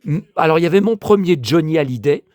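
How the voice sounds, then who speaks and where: An older man from France with a hoarse voice, grumbling and talking to himself as he shows his latest finds to viewers in a casual monologue. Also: a little high, animated, fast, darkish tone, no room sound, clean studio sound. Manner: grumbling, exasperated, talks to himself. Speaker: vlogger showing flea-market finds.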